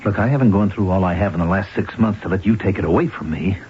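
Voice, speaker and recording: An actor playing a greedy, menacing schemer in a radio drama, with an American accent and slangy talk that swings between cajoling and threatening. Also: male voice, sits low, quick pace, close to an everyday voice. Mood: menacing, furious. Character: smooth-talking male schemer, menacing and greedy. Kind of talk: 1970s radio drama acting. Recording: muffled old radio recording, faint noise.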